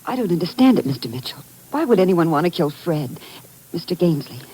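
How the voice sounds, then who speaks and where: A woman's voice: on the low side, very animated, fairly quick, warm tone, slightly rough, rough recording. A woman with an American accent playing a polite, earnest secretary in a vintage radio drama. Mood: puzzled. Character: proper, earnest female office secretary. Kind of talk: 1950s radio drama acting.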